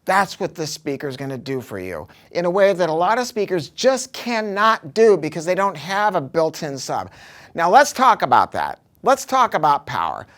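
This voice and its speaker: A man's voice: on the high side, sing-song, normal speed, fairly bright tone. A man giving a casual product review, talking informally and emphatically with some salty language.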